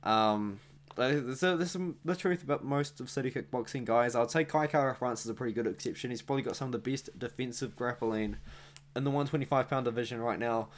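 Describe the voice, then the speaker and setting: A man's voice: medium pitch, fairly quick. A young man with a New Zealand accent, hosting an MMA analysis channel and chatting through a fight prediction in a rambling, self-correcting way, with asides to viewers. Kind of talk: casual fight prediction monologue.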